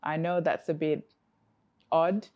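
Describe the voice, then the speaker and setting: Woman from Africa, lowish voice, earnest, reflective, loose conversational answers. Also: steady tone, slow, lo-fi sound. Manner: reflective, earnest, many fillers and restarts. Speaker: coach, interview guest.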